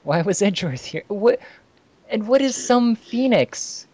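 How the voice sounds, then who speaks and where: A woman's voice: mid-range voice, expressive, measured pace, darkish tone, no echo. A woman giving puzzled, casual commentary on a podcast. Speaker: fan fiction podcast panelist.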